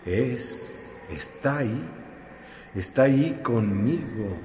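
A man's voice: mid-range voice, lively intonation, takes it slowly, darkish tone. A man with a Mexican accent acting a brooding, mocking character in a rural radio drama, over a noisy recording.

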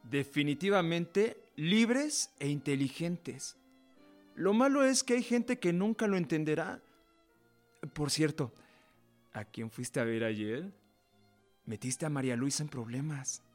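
An idealistic young law apprentice in a radio drama. His voice sits fairly high and he speaks slowly and deliberately, with theatrical earnestness, over guitar music. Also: expressive. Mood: thoughtful. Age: young adult.

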